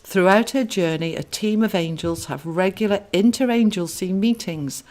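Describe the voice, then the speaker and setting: A woman's voice: mid-range voice, moderate pace, darkish tone. A woman, an author narrating her own book. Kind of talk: audiobook narration.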